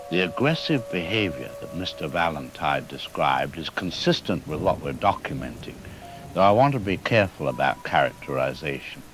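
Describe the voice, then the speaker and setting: A man's voice: a bass voice, low-quality recording. A man playing a cautious government doctor in a radio drama interview, speaking in a careful, diplomatic, official manner. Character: cautious, measured male government doctor giving expert comment.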